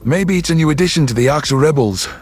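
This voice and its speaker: A man's voice: a little high, lively intonation, quick pace, husky, soft background music, pitched below the performer's own voice. A man voicing a thoughtful, analytical male starship crewman in a science fiction audiobook. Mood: speculative.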